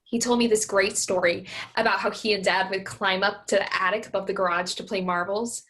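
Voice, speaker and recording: A woman's voice: medium pitch, steady tone, brisk, a bit bright, lightly acted. A woman playing a frustrated, resentful grown daughter in a staged reading of a play. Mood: fond.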